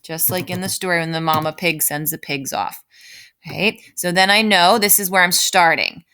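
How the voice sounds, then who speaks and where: A woman's voice: fairly low pitch, normal speed, background noise. A woman teaching an informal writing lesson step by step, thinking aloud and often checking in with the listener. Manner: explanatory, often checks in with "right?". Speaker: elementary school writing teacher.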